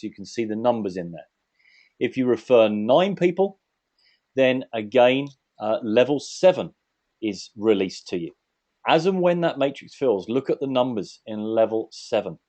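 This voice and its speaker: A man's voice: medium pitch, a little mellow. A man giving a methodical, explanatory sales presentation as a webinar presenter on an online call.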